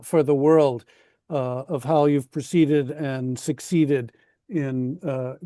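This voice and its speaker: A man's voice: fairly high pitch, dark tone, no room sound. A man moderating an online webinar in a courteous, formal, somewhat hesitant way. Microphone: online video call.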